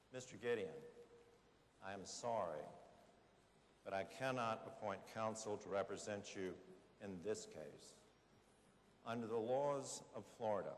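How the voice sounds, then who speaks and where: Low-voiced American man speaking in echoey courtroom. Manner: deliberate, measured phrasing with pauses. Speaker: judge giving opening remarks. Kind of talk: formal speech to an audience. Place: large historic courtroom. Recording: echoey hall, faint background noise.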